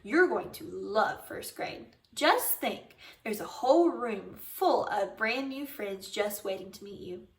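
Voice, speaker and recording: A woman reading a children's book aloud, voicing a gentle, soothing, encouraging father. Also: mid-range voice, expressive, takes it slowly, few pauses. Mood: reassuring, coaxing. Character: gentle, reassuring father of a first-grader. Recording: roomy echo, distant microphone.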